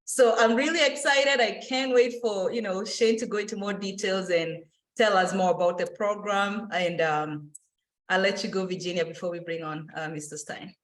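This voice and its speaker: An energetic woman talks in an animated way, rushing through lists and using plenty of fillers. She is presenting as a financial educator. Her voice sits mid-pitch and carries a roomy echo. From Kenya. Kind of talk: casual enthusiastic presentation.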